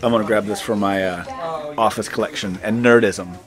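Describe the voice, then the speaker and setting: A man's voice: lowish voice, fairly even intonation, moderate pace, over music. Chatty man filming himself outdoors. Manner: upbeat, chatty, enthusiastic. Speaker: vlog host. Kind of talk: casual travel vlog narration. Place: outdoors at a market and indoors in shops. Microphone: handheld camera at arm's length.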